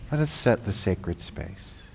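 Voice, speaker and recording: A man leading a guided meditation, speaking slowly, softly and solemnly. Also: fairly low pitch, animated, gravelly, slight background noise. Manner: slow, solemn, soft. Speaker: meditation leader.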